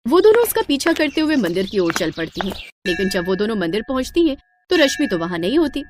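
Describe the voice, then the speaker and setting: A woman's voice: medium pitch, sing-song, fast talker, over music. A woman narrating a scripted moral story as the storyteller.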